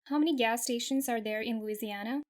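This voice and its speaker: Higher-pitched woman giving voice commands. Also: a little fast. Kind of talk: short spoken commands and answers to a voice assistant.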